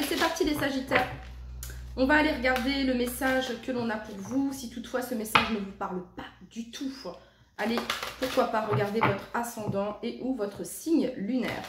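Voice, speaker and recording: A woman with a fairly high voice chats her way through a tarot reading, sprinkling in fillers and slowing for the card texts. She is recorded at home in a roomy space with a faint hum of noise behind her. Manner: chatty, many fillers, reads card texts slowly. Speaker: tarot reader. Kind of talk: casual tarot reading to camera. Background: cleanup noise outside.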